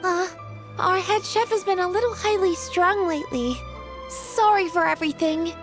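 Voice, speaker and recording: A timid young restaurant worker in a video game. She speaks in a high voice, courteous and apologetic, with clear music behind her. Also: moderate pace. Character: polite, timid young female restaurant employee.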